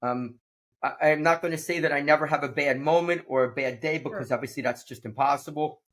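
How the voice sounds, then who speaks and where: Man, podcast host, upbeat, laughing, slightly high pitch. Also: brisk, a bit bright. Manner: upbeat, laughs often, restarts sentences. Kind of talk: casual conversation.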